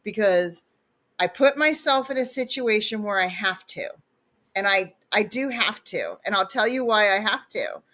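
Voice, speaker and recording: Bright, chatty woman with a sniffle. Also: mid-range voice, animated, normal speed. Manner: chatty, laughs often, enthusiastic. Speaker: co-host. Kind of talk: casual livestream chat.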